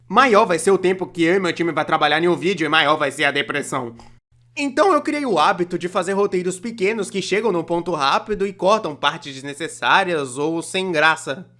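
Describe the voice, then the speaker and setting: A Brazilian performer dubbing a young cartoonist who narrates an animated comedy video in a conversational, self-deprecating, complaining way. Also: medium pitch, fairly quick, natural voice. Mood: wry, explanatory. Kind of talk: scripted comedic storytime narration, fan dub.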